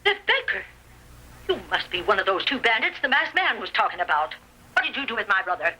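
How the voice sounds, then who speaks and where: A feisty cowgirl sister, american, bright husky voice, very animated, old tinny radio western. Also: mid-range voice, brisk, heavily acted. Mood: defiant. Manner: rustic, spirited, blunt. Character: feisty frontier woman, bold, devoted sister. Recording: vintage radio recording, tinny.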